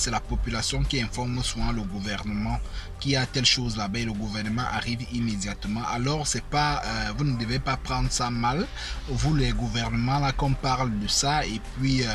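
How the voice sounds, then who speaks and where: A man's voice: medium pitch, fairly bright tone. A man, hosting his channel and talking casually to viewers with many fillers and repeated phrases. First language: African mother tongue. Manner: conversational, repeats himself, frequent fillers. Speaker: YouTube channel host. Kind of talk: casual spoken commentary to viewers. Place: indoors in Germany.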